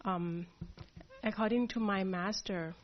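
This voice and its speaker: Thai-born woman leading a meditation class, soft and halting, bit dull lo-fi sound. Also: medium pitch. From Thailand. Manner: soft, hesitant, many pauses and fillers. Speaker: meditation teacher. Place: meditation hall.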